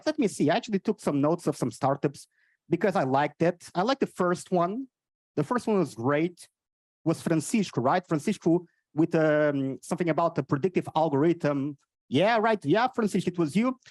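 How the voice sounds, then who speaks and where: A Portuguese man with a Portuguese accent and an odd, cartoonish voice, doing energetic stand-up and teasing the audience on stage. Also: fairly high pitch, no room sound. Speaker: stand-up comedian. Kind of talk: live stand-up with crowd work. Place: on stage at a live event.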